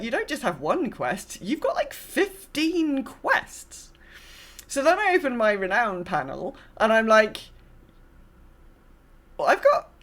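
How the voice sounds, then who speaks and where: A woman's voice: a little high, fairly bright tone. A woman podcast host chatting casually about gaming, telling stories in a rambling, self-correcting way.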